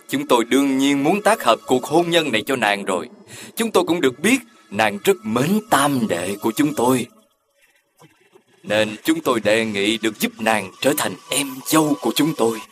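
A man voicing a sly, scheming young prince in an animated fairy tale, smooth-tongued and courtly. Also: medium pitch, normal speed, music in the background, natural voice. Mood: flattering, sly.

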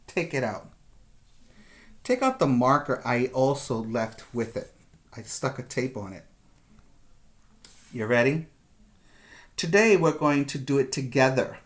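A middle-aged man giving a preschool lesson as a teacher, with deliberate, repetitive step-by-step instructions, recorded indoors on a webcam. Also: mid-range voice. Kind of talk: instructional video lesson for young children. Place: small room indoors. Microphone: webcam at desk distance.

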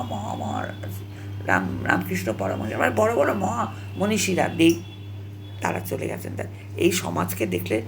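A woman vlogger speaking straight to viewers in an opinionated, halting monologue, repeating herself and scolding with emphatic rhetorical questions. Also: medium pitch, vocal fry, a little hiss or hum.

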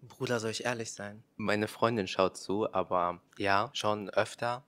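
A man's voice: fairly low pitch, steady tone, a little slow, a bit bright, dry recording. Frank young man, dry sound. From Cologne. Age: 20s. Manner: frank, slangy. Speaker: contestant.

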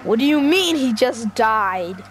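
A gamer improvising a video game roleplay, excitable, exclaiming and muttering along while playing. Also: mid-range voice, measured pace. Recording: noisy home gameplay recording.

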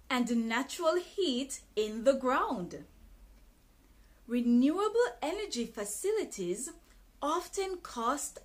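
A woman in her thirties reading an English textbook passage aloud in a careful, clearly enunciated teaching style, in a small bright room. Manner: careful, deliberate reading with expressive pitch. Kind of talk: slow, clearly enunciated read-aloud lesson.

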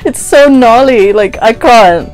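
A woman's voice: fairly high pitch, takes it slowly, faint music behind, rough recording. A woman in her twenties telling a story in casual, giggly chat, as a vlog host recording at home. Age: 20s. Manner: animated, giggly. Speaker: vlogger, host. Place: small bedroom, indoors.

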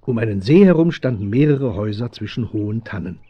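A man's voice: fairly low pitch, expressive, brisk, breathy edge, dry recording. A man playing the storyteller of a children's radio mystery, giving scripted narration. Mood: narrating. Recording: dull old audio drama recording.

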